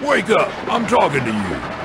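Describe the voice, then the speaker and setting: An actor playing a crusty, folksy old American railroad narrator, gravelly, teasing and bossy, in a theatrical video game cutscene style. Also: male voice, on the high side, huge pitch swings, moderate pace, noisy background. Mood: scolding, urging. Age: older. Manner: folksy old-timer drawl with scolding asides.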